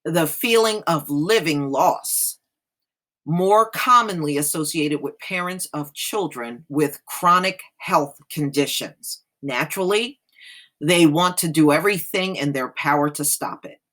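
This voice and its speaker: A woman's voice: deep voice, measured pace, fairly bright tone. An American woman hosting, reading an article aloud and adding plain-spoken casual commentary.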